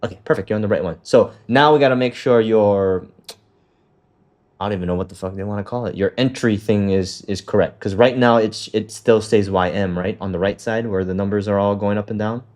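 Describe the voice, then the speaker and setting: A man's voice: fairly low pitch, a little fast, darkish tone. A man coaching a student through a trading setup on a call, talking loosely with lots of fillers and the odd swear. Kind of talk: casual walkthrough on a call.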